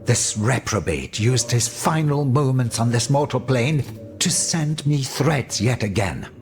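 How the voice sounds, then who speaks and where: A man's voice: medium pitch, animated, measured pace, faint music behind. An actor playing a flamboyant, indignant French nobleman in a video game, with a florid, declamatory manner. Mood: bitter indignation. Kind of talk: video game cutscene dramatic acting.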